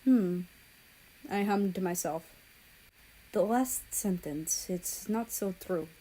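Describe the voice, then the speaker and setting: A woman narrates a story as a reader. Her voice sits at an ordinary female pitch, and she delivers scripted prose between lines of dialogue. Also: takes it slowly, warm tone, breathy edge, rough recording. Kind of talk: scripted narration of fan fiction.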